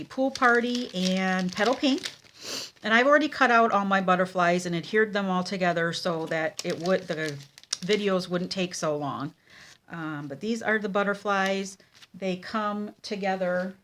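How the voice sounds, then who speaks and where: A woman with a stuffy, nasal voice from a cold, teaching card-making at her craft table at home. She talks slowly in a relaxed, chatty way, with frequent ums and pauses. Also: mid-range voice, a little hiss or hum. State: congested with a cold. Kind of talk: casual craft tutorial.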